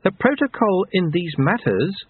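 Stern, brisk young woman voiced by a man, tinny line. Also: high voice, very animated, breathy edge, no echo, pitched above the performer's own voice, full character voice. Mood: cool, firm. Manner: formal, precise, withering. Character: stern, brisk, slightly built young woman, severe and commanding. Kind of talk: audiobook character voice. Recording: narrow tinny phone-like sound.

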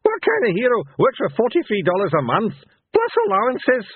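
A man's voice: wildly swooping pitch, brisk, husky, dry recording, phone-quality sound, full character voice. A man voicing a cantankerous, ancient barbarian, cackling and scornful in an audiobook. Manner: cackling, shrill outbursts.

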